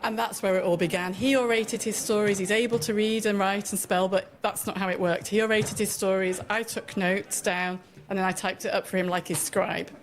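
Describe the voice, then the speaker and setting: A woman's voice: mid-range voice, steady tone, normal speed, few pauses. A middle-aged woman, an interview guest, telling her account in an articulate, conversational and explanatory way. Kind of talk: radio interview, conversational storytelling.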